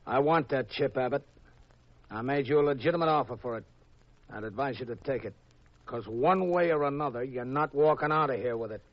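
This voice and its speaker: A man acting a menacing, falsely polite gambling boss in a 1950s radio mystery, terse and husky. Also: mid-range voice, brisk, dry recording, lightly acted. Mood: insistent, threatening. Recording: muffled old radio recording.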